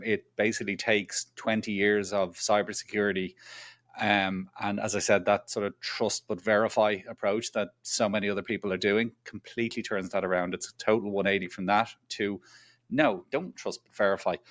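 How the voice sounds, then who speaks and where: Low flat-voiced man, relaxed interview guest. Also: brisk, no echo. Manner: chatty, self-deprecating, repeats phrases. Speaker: guest, product executive. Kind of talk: conversational interview answers.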